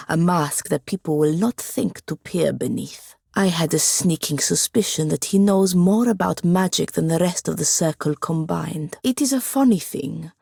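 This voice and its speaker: A woman's voice: lowish voice, gravelly, a little mellow, husky, no echo, heavily acted. An actress playing a proud, bookish young wizard woman in a fantasy video game, speaking formally with a dry, haughty manner. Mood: musing. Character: proud, bookish, sharp-tongued young wizard woman, newly freed apprentice. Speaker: companion character in a fantasy role-playing game. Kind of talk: scripted video game dialogue.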